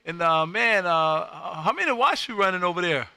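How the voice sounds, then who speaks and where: High-pitched, bright-voiced loud radio host guy. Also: expressive, normal speed, clean tone, no echo. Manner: loud, folksy showman. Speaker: amateur radio operator and livestream host. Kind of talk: casual radio contact chat. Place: home radio room.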